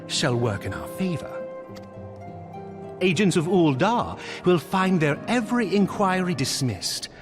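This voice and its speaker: A gracious, loyal nobleman from a fantasy video game. His voice sits a little high and swings expressively through formal, courtly phrasing. Music plays behind him. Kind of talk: scripted video game dialogue.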